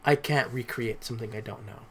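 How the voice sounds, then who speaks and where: A young man who hosts a podcast, chatting casually and haltingly, repeating words as he thinks. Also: mid-range voice, steady tone, a bit bright, breathy edge. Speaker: podcast host and amateur voice actor. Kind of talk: casual chat.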